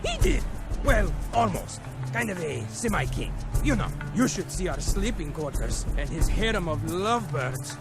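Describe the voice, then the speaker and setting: A man voicing a boastful, touchy, excitable pet bird in a video game. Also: high voice, moderate pace. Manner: excitable, self-important. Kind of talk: animated video game voice acting. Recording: loud music over dialogue.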